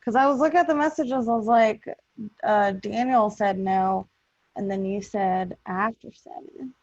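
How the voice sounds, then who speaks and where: Unhurried woman, muffled dry recording. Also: mid-range voice, a little mellow, no echo. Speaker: podcast host organizing cast. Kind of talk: casual chat. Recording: dull, dry, lo-fi audio.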